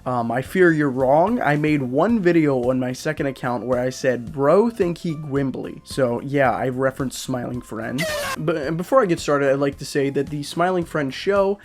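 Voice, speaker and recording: A young man talking casually as a YouTube reviewer, rambling and poking fun at himself, with lots of fillers. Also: on the high side. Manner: rambling, self-deprecating, many fillers. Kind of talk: casual vlog talk.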